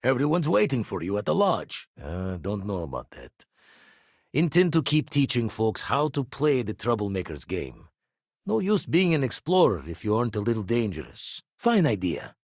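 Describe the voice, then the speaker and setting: Game role: seasoned ex-pirate space explorer, gruff and wry, rough husky tone, very animated inflection, slangy turns of phrase. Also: male voice, mid-range voice, moderate pace, no echo, clean studio sound, exaggerated voice. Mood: steady, measured. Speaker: video game voice actor. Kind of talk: scripted game character dialogue.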